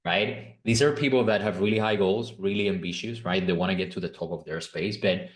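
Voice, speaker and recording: A man's voice: low-pitched, brisk, reverberant. A man with a Greek accent running a live sales call, coaching and leading with questions, often checking in with "right?". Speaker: sales closer.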